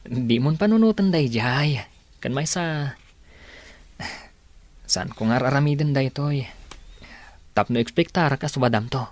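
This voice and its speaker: A Filipino-accented man playing a kind, devoted young man in a radio drama, speaking softly and reassuringly. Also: medium pitch, a little slow, long pauses, no room sound, close to an everyday voice. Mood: gentle, affectionate. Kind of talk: Ilocano radio drama acting.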